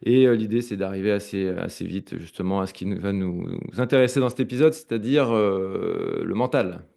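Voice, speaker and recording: A man hosting a podcast, gently asking his guest to introduce himself in halting questions. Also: low voice, vocal fry. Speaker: podcast host. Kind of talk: interview questions.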